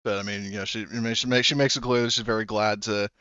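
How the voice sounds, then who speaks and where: A man acting as game master, talking in a relaxed, rambling way and laughing often. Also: lowish voice, quick pace, clean tone, dry recording, highs cut off. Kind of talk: narrating a tabletop game.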